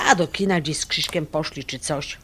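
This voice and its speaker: An actress playing a bossy, doting middle-aged mother in an audio drama, brisk and fussy as she scolds. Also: sits low, fairly bright tone, slight background noise. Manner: brisk scolding, cutting him off.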